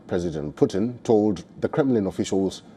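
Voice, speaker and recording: A man presenting scripted news narration in a formal, measured delivery. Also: lowish voice, animated, gravelly, warm tone, husky.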